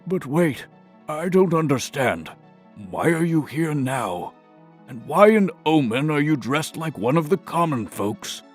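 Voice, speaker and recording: A man playing an earnest archmage in a fantasy audio drama, speaking formally and gravely with some hesitation. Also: on the high side, very animated, a little slow, soft background music, full character voice. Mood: flustered, surprised. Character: earnest male archmage, deferential royal adviser.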